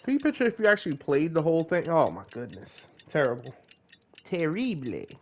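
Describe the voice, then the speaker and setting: A man's voice: a little high, wildly swooping pitch, a little fast, slight background noise. A man giving casual, playful gameplay commentary as a streamer, talking in a slangy way. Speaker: gaming streamer. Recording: game music behind voice.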